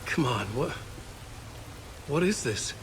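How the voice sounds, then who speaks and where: An actor playing a confused, anxious writer in a game drama, speaking urgently and haltingly as he searches for answers. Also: medium pitch, lively intonation, noisy background. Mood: bewildered, insistent.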